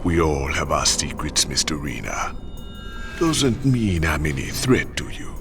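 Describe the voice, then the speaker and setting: An actor playing a sly, menacing psychic assassin and pirate in a video game cutscene, with a cool, taunting manner and a breathy growl. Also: male voice, rumbling low, big pitch swings, over music, exaggerated voice. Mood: guarded, defiant. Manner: cool, taunting, drawn-out familiar address.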